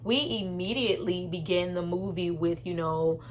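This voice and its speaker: A woman's voice: lowish voice, moderate pace, a little hiss or hum. A woman giving a casual, opinionated movie review in a candid, conversational and emphatic way. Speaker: film reviewer and host.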